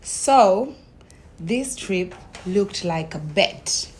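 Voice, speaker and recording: A young woman with a Tanzanian accent tells her own story casually, in an animated way, acting out conversations as she goes. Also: mid-range voice, extremely slow, slight background noise. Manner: animated retelling, acts out quoted conversations.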